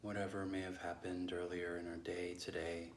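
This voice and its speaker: A man leading a guided meditation in a measured, unhurried way with long pauses between phrases. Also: sits low, little pitch movement, echoey room, a little hiss or hum. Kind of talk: guided meditation, slow instruction.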